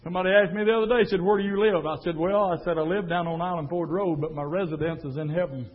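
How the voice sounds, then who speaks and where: A man preaching a fiery sermon, dropping to a low murmur and then rising to a shout. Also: fairly even intonation, fast. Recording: tinny phone-like sound.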